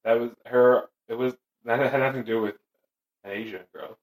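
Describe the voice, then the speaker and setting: A man's voice: mid-range voice, steady tone, a little fast, a little mellow, poor audio. A man in casual chat who meanders and searches for words as he talks.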